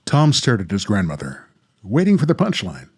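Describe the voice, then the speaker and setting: A man's voice: lowish voice, huge pitch swings, moderate pace, a little mellow, slightly rough. An American man hosting a podcast, chatting casually and narrating. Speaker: podcast host.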